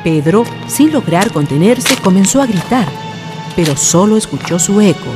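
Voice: mid-range voice, expressive, normal speed. A narrator reading a horror story in a formal, ornate literary style. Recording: music behind the voice.

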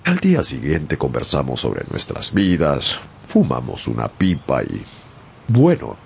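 A man's voice: a bass voice, huge pitch swings, unhurried, gravelly, slight background noise, heavily acted. An actor playing a young sailor who narrates his own sea adventure in a dramatized radio story. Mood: wry, reflective. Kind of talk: dramatized first-person storytelling. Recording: music bed behind narration.

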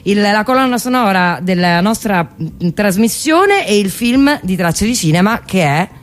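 A woman's voice: mid-range voice, animated, measured pace. A woman with a Roman accent introducing a radio show in a wry, playfully literary way with colloquial asides. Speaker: radio host. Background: music.

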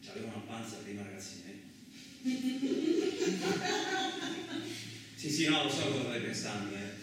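An actor playing a rough, rambling male truck driver in a colloquial radio theatre monologue, with an earthy, agitated manner. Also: medium pitch, huge pitch swings, slow. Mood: wistful, joking. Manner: earthy, agitated, rhetorical tags, swearing. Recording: echoey distant room, faint noise.

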